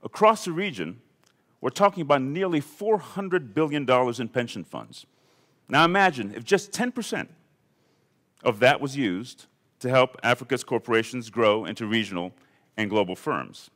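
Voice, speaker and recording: A man from Ethiopia giving a prepared conference talk in a measured, deliberate way. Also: mid-range voice, animated, fairly bright tone. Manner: measured, deliberate delivery with lists. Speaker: conference speaker. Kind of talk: prepared keynote speech. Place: conference hall.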